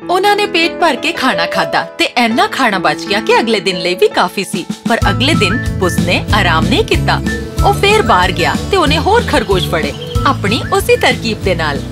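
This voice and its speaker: Female narrator for children's stories, animated and swooping, slightly high, over loud music. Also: normal speed. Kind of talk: scripted children's storytelling narration.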